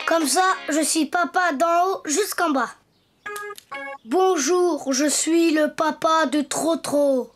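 A woman's voice: high voice, slow. A woman voicing a playful, imaginative little boy donkey in a preschool cartoon, with a chirpy, childlike manner. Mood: amused. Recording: music bed behind the voice.

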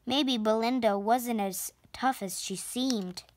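A preteen girl reading a children's picture book aloud with expressive, deliberate storytelling. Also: fairly high pitch, a little hiss or hum. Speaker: storybook reader and channel host. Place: indoor room at home, on a sofa.

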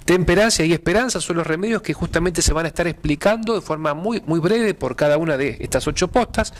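A middle-aged man with an Argentine accent explains an event in a TV studio, his voice sitting somewhat high. He talks haltingly, stacking fillers and restarts while gesturing as he goes. It is a lapel-mic studio sound. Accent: Argentine Spanish. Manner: hesitant, many fillers and repeated words. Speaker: pastor and event organizer. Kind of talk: TV studio interview. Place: TV studio with dark curtains.

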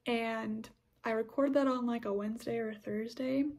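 A young woman with a slightly high, breathy voice talks through her experiences on her podcast. She rambles casually, backtracking and restarting her sentences as she thinks aloud. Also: steady tone, normal speed, darkish tone. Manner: chatty, rambling with many restarts and fillers. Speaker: podcast host. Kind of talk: casual scripture discussion podcast.

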